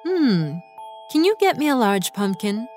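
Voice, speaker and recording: Old fairy godmother for kids' cartoon played by a woman, motherly, gently bossy, very expressive intonation, faint music underneath. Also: on the high side, unhurried. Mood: kindly, brisk. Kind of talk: children's cartoon voice acting.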